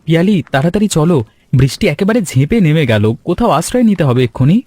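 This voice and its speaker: A man voices a practical young son in a village cartoon, a fairly high male voice that moves quickly. His pitch swings in a lively way, with faint music underneath. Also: dry recording. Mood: brisk, concerned. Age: young adult. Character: practical young married son back from town. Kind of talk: animated folk-tale cartoon dialogue.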